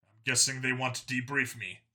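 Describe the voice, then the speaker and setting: A man reads as a tough vigilante cop in a sci-fi audiobook. He is curt and resolute, with a sharp, forceful tone. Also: mid-range voice, fairly even intonation, moderate pace. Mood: terse. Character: hard, curt human vigilante cop, gruffly determined.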